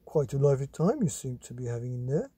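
A man's voice: medium pitch, very animated, fast, mellow, slightly rough, no room sound. A man voicing an earnest, worried father in a storybook.

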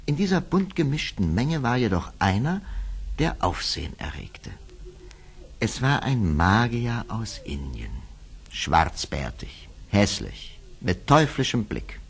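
A man narrates a fairy tale in a low, husky voice. His intonation rises and falls widely. The delivery is theatrical, measured storytelling. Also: very animated.

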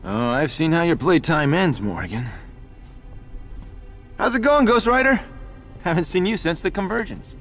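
An actor voicing a wisecracking demon hunter in a game, flippant, casual and sardonic. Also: male voice, on the high side, expressive, normal speed, long pauses, fairly bright tone. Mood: cocky, teasing. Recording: music behind the voice.

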